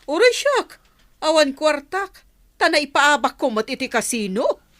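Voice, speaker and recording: A woman's voice: sits high, sing-song, unhurried, fairly bright tone, heavily acted. A woman playing the hot-tempered, cursing wife of a crime boss in an audio drama. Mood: furious. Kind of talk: radio drama dialogue.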